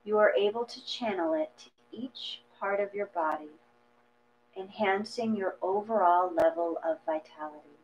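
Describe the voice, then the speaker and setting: A woman reading a guided meditation from a script in a gentle, measured manner, leaving long pauses between phrases. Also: mid-range voice, animated. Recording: dull lo-fi audio with mains hum.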